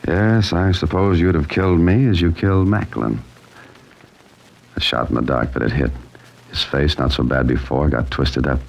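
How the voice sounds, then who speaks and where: An actor playing a wry, cool-headed amateur sleuth, with dry, clipped delivery in the style of an old-time radio mystery. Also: male voice, a bass voice, fairly even intonation, normal speed, creaky, lo-fi sound, heavily acted. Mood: wry, defiant. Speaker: narrator and lead character. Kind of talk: old-time radio mystery acting, hardboiled first-person narration.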